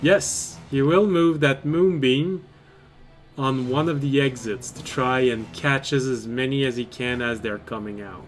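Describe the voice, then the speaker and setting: A man narrating a tabletop game as game master, in a halting, deliberate way. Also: mid-range voice. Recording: music behind. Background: background music.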